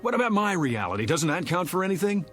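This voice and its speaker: Indignant, animated man in his forties. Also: high-pitched, quick pace, faint music behind. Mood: defiant. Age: 40s. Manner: agitated, defiant, sarcastic. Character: indignant forty-year-old man desperate to prove his identity. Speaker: actor.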